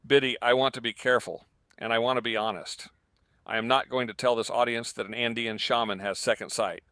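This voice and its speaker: Guy doing a measured earnest radio reporter, bright clear voice, mid pitch. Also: fairly even intonation, no echo, studio quality, lightly acted. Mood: careful, earnest. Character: careful, earnest male radio news correspondent. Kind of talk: dramatized news commentary.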